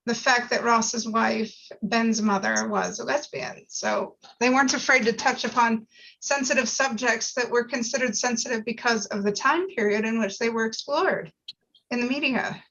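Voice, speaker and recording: A woman with a fairly high, very bright voice shares her view in a casual group discussion. She explains earnestly and conversationally. The audio is slightly dull and lo-fi. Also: fairly even intonation, normal speed, vocal fry. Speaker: panel participant.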